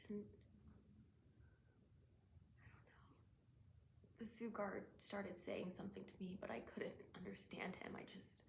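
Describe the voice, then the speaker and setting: A woman playing a shaken young woman haunted by cruelty she witnessed, in a halting, emotional dramatic stage monologue. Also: medium pitch, little pitch movement, whispered. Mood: bleak, quiet. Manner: halting, hushed and breathy, swelling into anguished cries. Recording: roomy echo, faint background noise.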